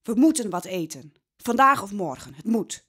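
Woman acting a desperate farmer's wife, very expressive. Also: mid-range voice, moderate pace, husky. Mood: desperate, pleading. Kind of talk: audio drama acting.

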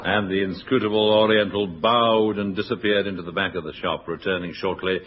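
Man as deadpan British adventurer, very noisy radio. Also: deep voice, natural voice. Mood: mock dramatic. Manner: deadpan, measured mock-dramatic storytelling. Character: deadpan male adventurer narrating his own detective tale. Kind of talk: 1960s radio comedy acting. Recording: very noisy, dull 1960s radio recording.

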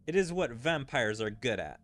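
A man voicing a grave young warrior prince, reading game dialogue in a measured, formal way. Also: medium pitch, sing-song, a bit bright. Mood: measured, explaining.

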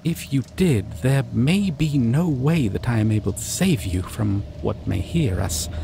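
A man voicing a shy, caring male dragon in an ASMR roleplay, talking softly and reassuringly. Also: mid-range voice, lively intonation, creaky, music in the background. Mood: gentle. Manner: soft, reassuring, slow and hesitant. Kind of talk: ASMR roleplay audio drama.

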